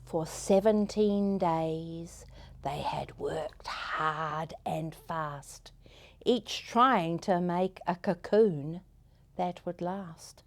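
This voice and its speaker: A woman storyteller hosting a story time, reading to children in a gentle, expressive way with deliberate phrasing. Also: fairly low pitch. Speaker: storyteller, host.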